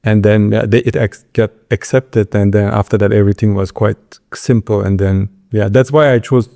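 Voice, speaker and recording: A man with a Turkish accent giving informal interview answers, halting and restarting his words as he goes. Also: on the low side, fairly even intonation, vocal fry, mellow. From Istanbul, Turkey. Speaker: interview guest, entrepreneur.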